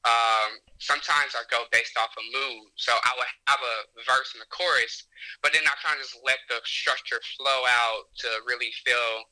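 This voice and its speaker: Bright-voiced young man describing his music. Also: mid-range voice, fairly even intonation, rough recording. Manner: unhurried, filler-heavy, confident about his music. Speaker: singer-songwriter guest. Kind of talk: casual interview answers.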